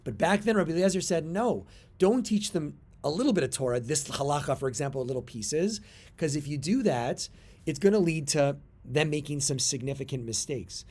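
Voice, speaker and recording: Man teaching with deliberate emphasis. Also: a little high, animated, darkish tone. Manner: deliberate emphasis on key words, pausing and rephrasing. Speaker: religious studies teacher. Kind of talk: explanatory video lesson, reading and translating texts.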